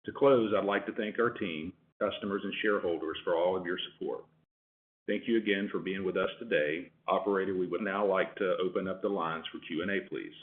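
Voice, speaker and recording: A man's voice: deep voice, a little fast. A man speaking formally as a company executive on an earnings call over a telephone line. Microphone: telephone conference line. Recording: narrow phone-line conference call audio.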